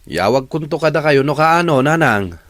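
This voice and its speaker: A man's voice: on the high side, animated, normal speed, a bit bright. A man playing an easygoing, placating grown son and boyfriend in a radio drama, with a Filipino accent. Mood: placating, teasing.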